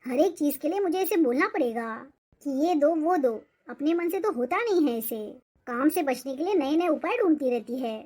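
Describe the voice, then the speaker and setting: Woman acting a high, mellow-voiced older mother-in-law. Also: lively intonation, normal speed. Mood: irritated, complaining. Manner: scolding, complaining. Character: nagging, resentful older mother-in-law, scolding grandmother. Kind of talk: Hindi cartoon family drama acting.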